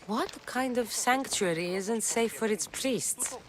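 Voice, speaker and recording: A woman's voice: on the high side, takes it slowly, background noise. An actress playing a determined young Greek warrior woman in a video game, blunt, impatient and dryly wry. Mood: wry, skeptical. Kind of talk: video game character dialogue. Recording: music under the dialogue.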